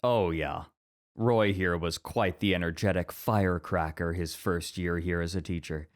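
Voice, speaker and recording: Man playing a deep-voiced, composed male scholar. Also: steady tone. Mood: calm, fond. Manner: measured, formal, thoughtful. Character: composed, introspective, scholarly male college teacher. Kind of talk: ASMR roleplay acting.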